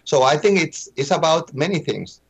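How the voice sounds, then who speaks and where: Halting male expert, non-native English speaker. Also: on the high side, animated, gravelly, slightly rough. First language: not English. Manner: halting, searching for words, repeating phrases. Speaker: interview guest, population expert. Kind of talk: explanatory interview answers.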